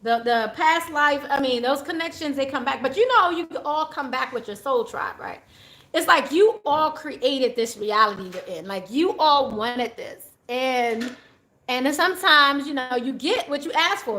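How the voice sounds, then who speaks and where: Chatty woman reading tarot, echoey room. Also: sits high. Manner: chatty, laughs often, animated. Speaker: tarot reader. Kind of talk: casual spiritual reading to viewers.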